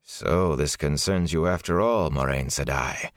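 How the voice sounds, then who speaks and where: Brief: man voicing a stern male lord, very deep, clipped and dry, close dry mic. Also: dry recording. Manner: slow, dry and measured.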